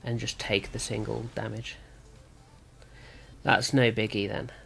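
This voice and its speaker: Man with a sore, slightly rough voice. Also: fairly low pitch, flat delivery, unhurried, a bit bright, faint music behind. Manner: thinking aloud. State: sore throat. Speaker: gaming video host. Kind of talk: casual card game commentary.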